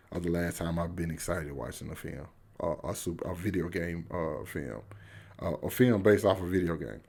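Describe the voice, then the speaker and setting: A man's voice: low-pitched, gravelly, darkish tone. An American man giving a casual, unscripted movie review to viewers, enthusiastic and slangy, repeating phrases for emphasis.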